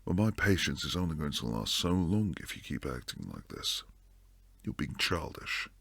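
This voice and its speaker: A man acts out a protective bodyguard boyfriend in an intimate ASMR roleplay. His voice is very deep and gravelly, with a slow delivery that slides into hushed whispers. He sounds stern and weary with tenderness underneath, close on a dry microphone. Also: sing-song, no echo, heavily acted. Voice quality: low, gravelly, breathy.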